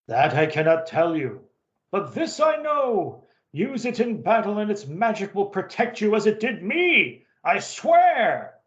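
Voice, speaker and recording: A man's voice: high voice, wildly swooping pitch, a little slow, fairly bright tone, echoey room, highs cut off, pitched above the performer's own voice, exaggerated voice. A man voicing a solemn, earnest and secretive old Viking smith in a dramatic comic-book story reading.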